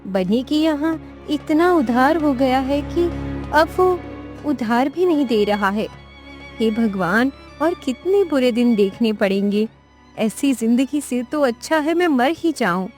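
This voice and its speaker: A woman's voice: high-pitched, expressive, slow pace, darkish tone, soft background music. A woman voicing a devoted, kindhearted, careworn young wife in a cartoon tale, earnest and emotional in delivery. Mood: distressed.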